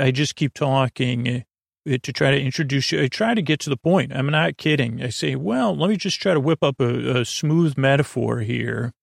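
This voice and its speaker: Man, medium pitch, creaky voice, dry close mic, stammering, meandering, whimsical bedtime podcast monologue. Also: no echo. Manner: meandering, halting, stammering with trailing tangents; whimsical, mock-earnest musing. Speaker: podcast host.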